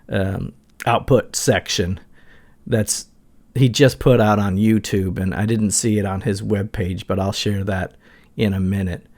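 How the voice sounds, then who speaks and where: Man, middle-aged, lowish pitch, casual product walkthrough, gear reviewer. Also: a little mellow.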